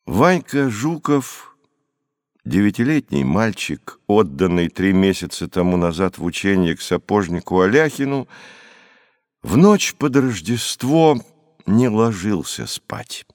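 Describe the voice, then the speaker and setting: A man with a low voice narrates classic literary prose. His delivery is measured and expressive, and the recording is dry, as in a studio. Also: no room sound. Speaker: audiobook narrator.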